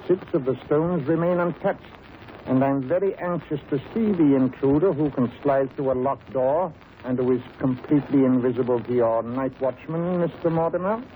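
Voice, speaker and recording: An actor playing a self-assured, wry gentleman detective with a British accent and a clipped manner, in 1930s radio drama style. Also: medium pitch, moderate pace, phone-quality sound, close to an everyday voice. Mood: probing, assured. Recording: hissy 1930s radio recording.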